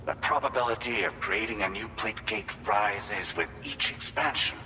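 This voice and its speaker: A man playing a formal company leader in a video game, speaking in a measured, earnest and grateful way. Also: fairly low pitch, pushed and bright, big-room sound, faint music behind. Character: formal male company leader addressing a courier. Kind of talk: video game cutscene acting.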